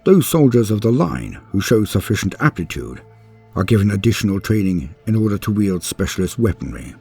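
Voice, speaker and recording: A man's voice: on the low side, very animated, brisk, gravelly, mellow, breathy edge, faint music behind. A man narrating scripted lore as a channel host, theatrically, with grandiose and formal storyteller phrasing.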